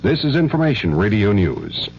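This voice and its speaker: A man reading a scripted radio newscast as anchor in a formal, measured manner. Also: on the low side, wildly swooping pitch, creaky. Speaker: network news anchor. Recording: dull, noisy 1970s radio recording.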